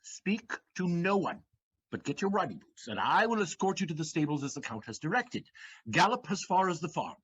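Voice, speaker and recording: A man's voice: sits high, big pitch swings, moderate pace, fairly bright tone, breathy edge, muffled recording, heavily acted. A man acting a shrewd, sardonic, swaggering servant in an audio play, with declamatory, mocking delivery and theatrical emphasis. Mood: scheming.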